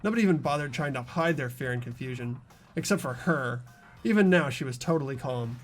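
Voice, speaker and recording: A man's voice: medium pitch, expressive, brisk, faint music behind, close to an everyday voice. A man voicing an anxious, earnest schoolboy hero in an amateur game dub, narrating in the first person. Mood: tense narration. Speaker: narrator and player of a visual novel playthrough.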